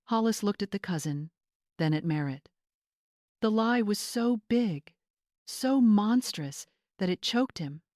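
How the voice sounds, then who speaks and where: A woman's voice: medium pitch, lively intonation, slow pace, a little mellow, dry recording, clean studio sound. A woman narrator reading a scripted story in a dramatic audiobook style.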